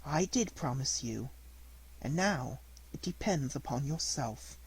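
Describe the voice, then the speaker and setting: Reader playing a motherly fairy, soft and calm, gently stern, husky, lively pitch, storybook. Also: measured pace, heavily acted, low-quality recording. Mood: gentle. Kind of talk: audiobook character reading.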